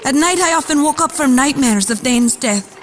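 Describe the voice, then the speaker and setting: A woman's voice: high voice, animated, moderate pace, slight background noise. A woman playing an earnest, sensitive adolescent boy genius, confiding his story in a radio drama. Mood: wistful. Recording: faint music behind the voice. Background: faint music.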